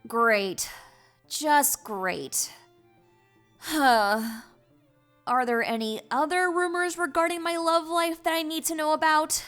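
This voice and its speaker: A young female pony princess from a cartoon, voiced by a woman, earnest and easily exasperated. The voice is high and forceful, defensive and emphatic, over light music. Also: slow pace. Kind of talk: fan fiction audio drama acting.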